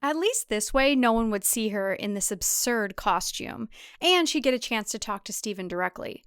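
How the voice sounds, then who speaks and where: Dry, higher-voiced woman narrating. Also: lively intonation, normal speed, fairly bright tone, smooth, dry recording. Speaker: author and narrator. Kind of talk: scripted audio drama narration.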